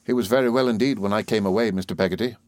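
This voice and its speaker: A man's voice: on the low side, racing, a little mellow, no echo, lightly acted. A man voicing an earnest, well-spoken young schoolboy, polite and effusively admiring, in a storybook reading.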